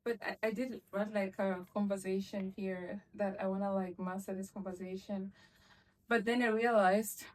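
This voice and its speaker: A young woman vlogging in a relaxed, chatty way, with frequent laughs and plenty of "like" between her thoughts. Her voice sits at an ordinary middle pitch. She sounds slightly distant from the microphone. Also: moderate pace. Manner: chatty, laughs often, lots of "like" fillers. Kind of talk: casual chat to camera.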